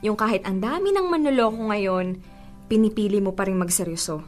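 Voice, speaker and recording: A woman's voice: medium pitch, animated, a little fast, a little mellow, faint music behind, natural voice. An actress playing a good-humored, skeptical young single woman in radio drama dialogue, bantering expressively and mock-scolding. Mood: impressed.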